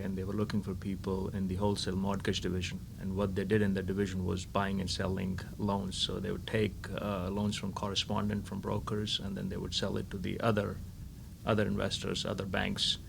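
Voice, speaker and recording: Very low creaky guy from india, eager answers, lots of hiss behind him. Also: completely flat delivery, a little fast. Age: middle-aged. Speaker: guest, lending firm founder. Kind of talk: interview answers. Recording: noisy recording.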